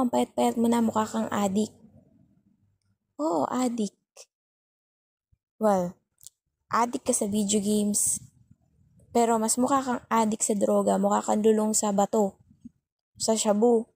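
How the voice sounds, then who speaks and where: A woman acts out a young Filipino girlfriend in an intimate ASMR roleplay, fussing and scolding out of worry. Her voice is dark and breathy, recorded very dry and close. She is bossy and sulky yet caring, repeating her complaints for emphasis. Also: mid-range voice, fairly even intonation, moderate pace, pauses often, no echo, natural voice. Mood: nagging, teasing. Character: nagging, worried, overthinking young girlfriend, bossy but caring.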